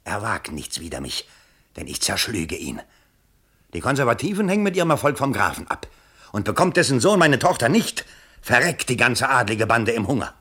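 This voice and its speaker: An actor playing a pompous, agitated bourgeois election candidate in a theatrical German radio play, exclamatory and self-dramatizing. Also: male voice, mid-range voice, big pitch swings, normal speed, fairly bright tone, husky. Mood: excited, suspicious.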